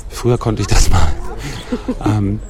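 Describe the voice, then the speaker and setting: A hoarse man talks through a loose, rambling podcast episode, poking fun at himself in a dry way. His voice sits a little low. The chatter of a busy café crowd is clear behind him. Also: lively intonation, takes it slowly. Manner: rambling, self-mocking, dry humor. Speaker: podcast host. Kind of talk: casual chatty monologue.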